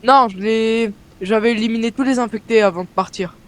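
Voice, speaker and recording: A man's voice: high-pitched, a little fast, fairly bright tone. A man improvising in character in an online role-play game.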